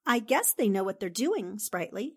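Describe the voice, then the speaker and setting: Animated, sensible lady reporter acted by a woman. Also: medium pitch, normal speed, slightly rough. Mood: knowing. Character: sensible, knowing 1940s newspaperwoman. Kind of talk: radio drama acting.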